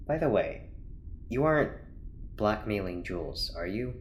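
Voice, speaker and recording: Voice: medium pitch, lively intonation, measured pace, slight background noise, lightly acted. An actor playing an earnest, awkward male doctor in an audio drama, hesitant, polite and easily flustered. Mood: curious.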